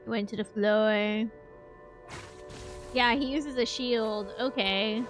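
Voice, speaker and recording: A woman's voice: a little high, slow pace, soft background music. A woman streamer giving casual, chatty reaction commentary while she plays a game.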